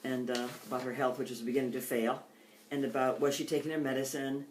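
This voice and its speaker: The voice is an older woman's, with the careful phrasing of a poet at a reading. She speaks in a measured, deliberate way. The sound is strongly echoey, with the room clearly heard around her. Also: extremely low pitch, level tone, a little mellow, a little hiss or hum. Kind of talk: poetry reading with informal introductions.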